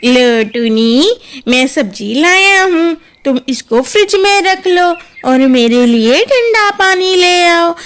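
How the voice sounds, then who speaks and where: A woman's voice: extremely high pitch, lively intonation, takes it slowly, fairly bright tone, voice pushed down, full character voice. A woman voicing a mild, dutiful adult husband bird in a children's cartoon. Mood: casual, tired.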